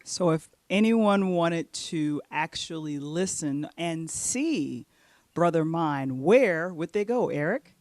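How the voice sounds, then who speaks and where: A woman's voice: low voice, lively intonation, slow, a little mellow, dry recording. A woman hosting an interview show, putting questions to guests and addressing the audience. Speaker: interview host.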